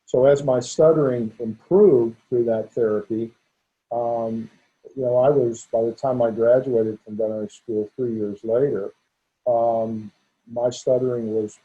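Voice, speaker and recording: Dark-voiced man who stutters, older. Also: mid-range voice, steady tone, moderate pace. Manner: stutters, with frequent repetitions and restarts. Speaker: guest, veterinarian. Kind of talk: conversational interview. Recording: dull lo-fi audio.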